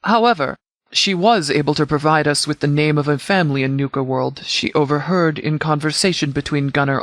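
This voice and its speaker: An actor playing a stern but caring military commander in a video game, speaking in a measured, formal, authoritative way. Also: male voice, fairly high pitch, a bit bright, no echo, close to an everyday voice. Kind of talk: scripted game dialogue.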